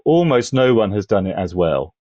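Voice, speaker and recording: A man talking as a podcast panellist, thoughtful and halting, building his points gradually in conversation. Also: on the low side, big pitch swings, no room sound, dull audio. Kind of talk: conversational film discussion.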